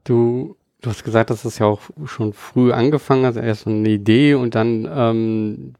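Male podcast interviewer, relaxed, asking questions; dry recording. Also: mid-range voice, moderate pace, no room sound. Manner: relaxed, asks with fillers and restarts. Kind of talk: casual interview.

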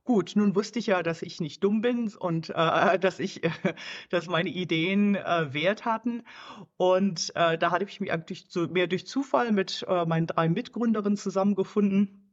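A woman's voice: lowish voice, moderate pace. A woman in her fifties from Germany whose German carries an American English accent, speaking as a podcast guest over a video call, searching for words with many fillers and restarts and slipping into English. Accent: German with American English influence. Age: 50s. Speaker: guest, startup founder. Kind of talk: casual podcast interview. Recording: muffled video-call audio.

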